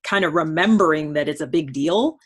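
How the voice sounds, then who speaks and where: A woman with a husky voice speaking as the host of a conversational one-on-one discussion. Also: low-pitched, a little fast. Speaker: host of a personality-type dialogue series. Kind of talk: conversational interview.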